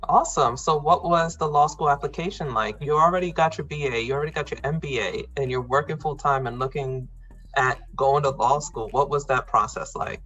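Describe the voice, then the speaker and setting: A woman hosting an interview podcast speaks in a bright, forceful voice with a husky grain. She moves between scripted introduction and direct questions, with music in the recording. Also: very deep voice, a little fast, dull audio. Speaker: podcast host.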